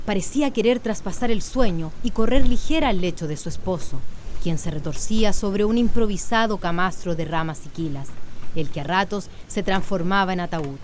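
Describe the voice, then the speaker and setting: A woman's voice: medium pitch, normal speed, slight background noise. A woman narrating a radio drama in a literary, scripted style. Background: plucked guitar music.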